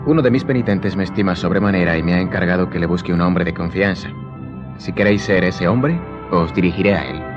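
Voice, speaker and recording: An actor playing a composed, authoritative gentleman, formal and paternal, in a radio drama. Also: low-pitched, fast talker, close to an everyday voice. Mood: paternal, solemn. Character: composed, authoritative count, also posing as kindly abbot. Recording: muffled audio under prominent music.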